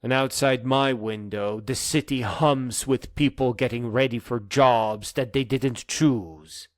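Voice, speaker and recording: A man delivering a dramatic spoken-word monologue in an earnest, emphatic manner that moves between hushed and insistent phrases. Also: mid-range voice, no room sound, clean studio sound.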